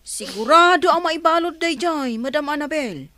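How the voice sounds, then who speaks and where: A woman's voice: high-pitched, expressive, moderate pace, sharp tone. A woman playing a loyal, deferential servant who attends her lady in a radio drama. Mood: reassuring. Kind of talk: radio comedy-drama acting.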